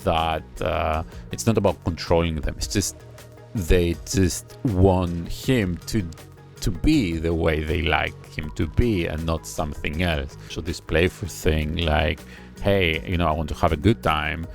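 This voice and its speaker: A man giving a casual reaction and analysis of a music video. He explains things step by step in an unhurried way, with many pauses. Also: sits low, gravelly.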